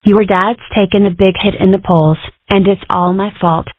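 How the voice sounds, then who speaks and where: A woman's voice: mid-range voice, steady tone, moderate pace, no echo, lightly acted. A woman voicing an earnest, anxious young female dragon who works as a political aide in a cartoon comic dub. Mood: guilty, earnest. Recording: thin phone-like lo-fi audio.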